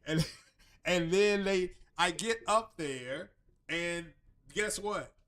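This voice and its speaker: A man hosting a casual tech live stream, raspy from a cold and rambling through chatty asides. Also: high-pitched, slow pace, fairly bright tone. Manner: rambling, chatty, loose restarts. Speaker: tech channel host.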